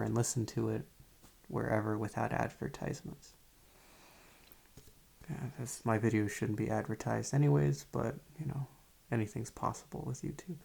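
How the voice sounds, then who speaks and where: Guy doing a casual asmr channel update, super creaky husky voice, kinda hesitant and soft spoken. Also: medium pitch. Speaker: ASMR video creator.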